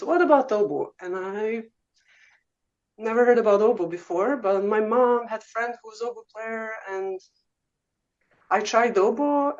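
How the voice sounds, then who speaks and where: A woman's voice: a little high, darkish tone. A woman from Serbia in her thirties with a Serbian accent, a guest telling her story in a casual interview, chatty and full of ums. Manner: chatty, frequent "um" and "uh", laughs easily. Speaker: guest oboe professor. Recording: slightly muffled lo-fi audio.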